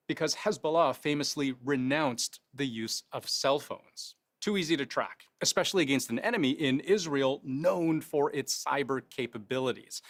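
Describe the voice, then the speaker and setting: A man hosting a news explainer in a conversational scripted style, posing rhetorical questions and stressing key phrases. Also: on the high side. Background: faint music.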